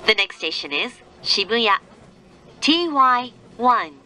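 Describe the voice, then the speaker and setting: Woman, highish bright voice, scripted train announcements, formal, careful diction. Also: sing-song, soft background music. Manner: formal, measured, carefully enunciated. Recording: train announcement with faint vehicle noise. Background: moving train noise.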